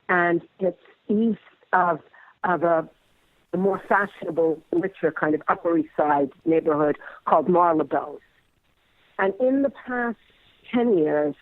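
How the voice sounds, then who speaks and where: A man with a fairly high voice talks reflectively in an interview. He is hesitant, doubling back on words, and the sound is dull and dry. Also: no echo. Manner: hesitant, repeats words while searching. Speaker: playwright interviewee.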